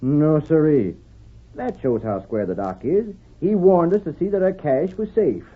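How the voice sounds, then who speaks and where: An actor playing a folksy, ailing, gullible frontier husband with a rustic drawl in an old-time radio western. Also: male voice, on the high side, expressive, a little slow, breathy edge. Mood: folksy, contented. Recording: tinny old radio broadcast recording.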